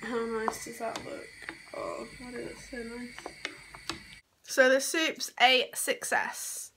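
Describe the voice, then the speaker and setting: A young mum with a stuffy, congested voice talks slowly and casually into her phone at arm's length, drifting from one thought to the next with frequent ums. Her voice sits a little high, and the home recording carries a noticeable hiss. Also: little pitch movement, sharp tone. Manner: chatty, rambling, lots of ums. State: congested with a sore throat. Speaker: vlogger, mother. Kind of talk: casual home vlog to camera. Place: small house, sofa, kitchen and garden. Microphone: phone camera at arm's length.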